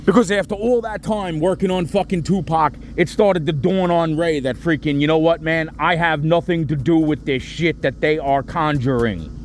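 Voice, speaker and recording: A man's voice: on the high side, normal speed, hardly any pauses. A middle-aged man on a phone call, venting in an agitated, rambling way with heavy swearing. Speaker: caller reporting to police. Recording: noisy phone call, traffic sounds behind.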